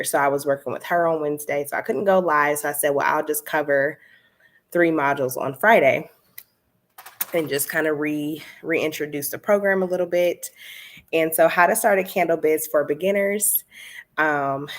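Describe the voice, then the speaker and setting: A woman's voice: sits low, steady tone, moderate pace. A woman hosting a casual live stream, chatting with lots of fillers and laughs, with a slightly stuffy nose. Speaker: candle business coach hosting a live stream.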